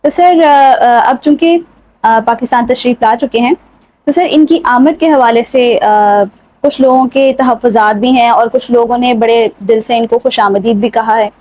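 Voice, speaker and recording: Higher-pitched Pakistani woman hosting. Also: darkish tone, rough recording. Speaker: talk show host. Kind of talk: formal interview questioning.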